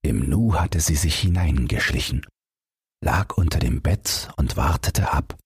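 Super low gravelly guy reading a bedtime story, slow n measured, husky. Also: lively intonation, no room sound, clean studio sound. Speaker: audiobook narrator. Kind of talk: scripted bedtime fairy tale narration.

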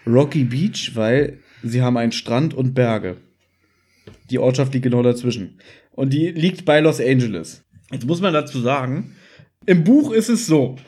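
A man's voice: medium pitch, animated, moderate pace, mellow, husky. A man chatting casually as a podcast host, explaining things at length and often tagging on questions. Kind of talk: casual chat about audio dramas.

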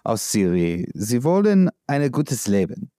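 A man from the United States with an American accent, speaking in a halting, searching way with many fillers, answering as an interviewee. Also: medium pitch, big pitch swings, warm tone, dry recording, clean studio sound. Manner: halting non-native speech with many fillers. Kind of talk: conversational interview.

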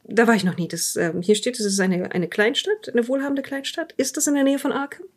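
A woman playing a polite, patient police interviewer in an improvised audio drama, courteous and a little halting. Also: fairly high pitch, lightly acted. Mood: inquisitive.

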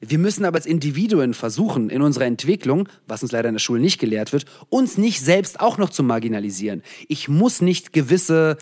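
A man from the former Soviet Union talks as an interview guest, searching for his words with pauses and restarts. His voice sits a little higher than usual for a man. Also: very animated, a little mellow. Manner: thoughtful, searching, frequent fillers and restarts. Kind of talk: reflective interview answers.

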